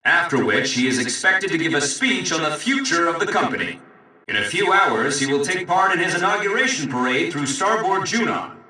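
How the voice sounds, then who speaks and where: A video game news announcer, formal and polished. His high, very bright voice rings out with heavy echo, some distance from the mic. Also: sing-song, moderate pace, full character voice. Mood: formal, upbeat. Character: male news announcer hailing the new president, formal, upbeat. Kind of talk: formal news broadcast narration. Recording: echoey public-address sound, distant.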